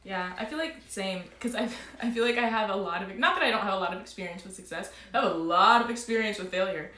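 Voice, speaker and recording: A woman hosting a casual live chat, talking in a quick, agreeable way. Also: mid-range voice, bright, forceful tone, reverberant. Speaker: host of a live music show.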